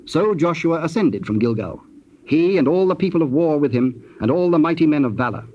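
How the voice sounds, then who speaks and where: A man narrating a scripted, dramatized scripture reading in a solemn, measured manner. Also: fairly high pitch, lively intonation. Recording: muffled old cassette, faint noise.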